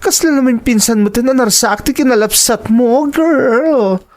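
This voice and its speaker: A woman's voice: on the high side, measured pace, a little mellow, close to an everyday voice. A woman playing a sassy, nosy, concerned female friend in an Ilocano radio drama, exclaiming and probing. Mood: probing, teasing.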